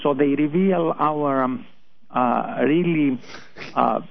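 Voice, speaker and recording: Gravelly Greek-accented man on phone line. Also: on the high side. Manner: hesitant, many pauses and restarts. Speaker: radio show guest, skeptic. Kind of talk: phone-in interview. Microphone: telephone.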